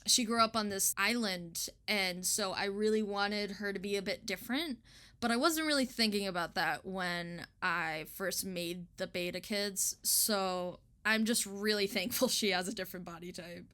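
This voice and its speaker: A woman's voice: mid-range voice, normal speed, bright, forceful tone. A woman speaks in a mid-range voice as an illustrator being interviewed. She chats casually and informally, with a giggly, self-deprecating manner.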